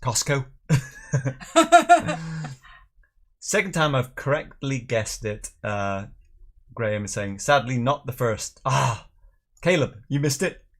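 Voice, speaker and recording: Middle aged guy doing a relaxed livestream, chatty n jokey, reading out the chat, normal pitch. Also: slow pace, long pauses. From Northern Ireland and England. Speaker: host of a live whisky tasting show. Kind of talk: casual livestream chat, reading out viewer comments.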